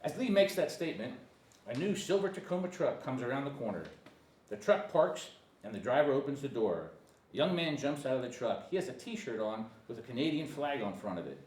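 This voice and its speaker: Expressive male narrator in an echoey room. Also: medium pitch, fairly quick. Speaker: narrator at a screenplay table reading. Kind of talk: scripted narration of stage directions. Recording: echoey room, distant mic, faint noise.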